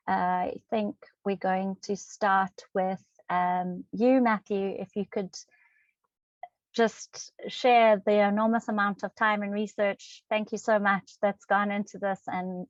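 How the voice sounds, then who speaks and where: A woman's voice: medium pitch, flat delivery, slow pace, no room sound. A woman hosting a webinar, reading out speaker bios with frequent ums and self-corrections. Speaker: webinar host. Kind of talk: reading introductions with fillers. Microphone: video call. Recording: dry, dull lo-fi video call audio.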